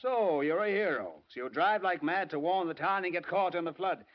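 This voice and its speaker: Commanding, slangy American newspaper boss male actor, radio drama, slightly high voice, dull vintage recording. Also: brisk, lightly acted. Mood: brash, bossy. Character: bossy, wisecracking, fatherly newspaper chief. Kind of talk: 1940s-50s radio acting. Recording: muffled old radio recording.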